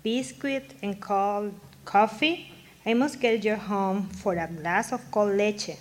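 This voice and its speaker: A woman's voice: mid-range voice, slow, natural voice. A woman playing a kindly Mexican mother in a radio drama, speaking broken English mixed with Spanish in a Mexican accent. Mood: warm, motherly. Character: warm Mexican immigrant mother newly adopting two children. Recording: live stage performance, audible background noise.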